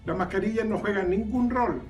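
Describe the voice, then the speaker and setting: Distant doctor explaining calmly. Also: male voice, sits high, fairly quick, soft background music. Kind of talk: explanatory statement. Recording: distant from the mic.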